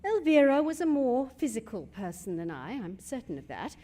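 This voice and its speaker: An actress playing a poised, sharp-tongued middle-aged wife in a stage comedy, with a crisp, ironic British delivery. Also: female voice, on the high side, big pitch swings, moderate pace. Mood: arch, teasing. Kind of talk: stage comedy radio acting.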